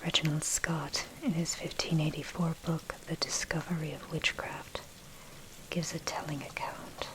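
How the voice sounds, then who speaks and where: A woman narrates in a breathy, unvoiced whisper, giving a measured, scholarly ASMR reading of a dark history article. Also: deep voice, flat delivery, rough recording. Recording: heavy background noise, rain and thunder. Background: rain, thunder, clicks.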